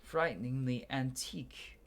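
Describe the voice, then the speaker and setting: A man's voice: mid-range voice, measured pace. A man doing a playthrough, reading game dialogue aloud dramatically and stumbling now and then before restarting lines. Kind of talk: gameplay commentary with dramatic read-aloud dialogue.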